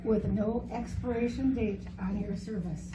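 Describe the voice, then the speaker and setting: Unhurried higher-voiced woman in echoing hall. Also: fairly even intonation, noisy background. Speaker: reader in a church reader's theater. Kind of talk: scripted reader's theater performance. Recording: echoey hall, distant mic, music behind.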